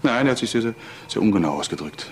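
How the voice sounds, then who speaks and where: An actor playing a polite department head, courteous and halting, choosing his words carefully in a crime radio drama. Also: on the low side, animated, noisy background, close to an everyday voice. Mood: hesitant, grave. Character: polite, hesitant male department head, formal gentleman.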